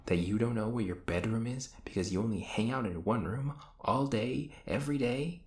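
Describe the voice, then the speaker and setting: A man's voice: mid-range voice, moderate pace, darkish tone, roomy sound, lightly acted. A man playing an exasperated, sarcastic young hero who banters and teases his frenemy in an audio roleplay. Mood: exasperated ranting. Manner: ranting, sarcastic, teasing. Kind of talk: scripted audio roleplay acting addressed to the listener.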